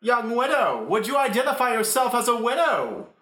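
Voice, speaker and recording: A woman's voice: on the high side, sing-song, normal speed, fairly bright tone. A woman playing a pompous male police detective in an improvised comedy radio play. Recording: echoey room recording.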